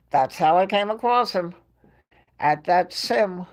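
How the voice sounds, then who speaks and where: Slow-talking New York woman, chatty. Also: on the low side. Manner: chatty storyteller, laughs often between phrases. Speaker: livestream host. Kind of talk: casual chat to a live chat audience.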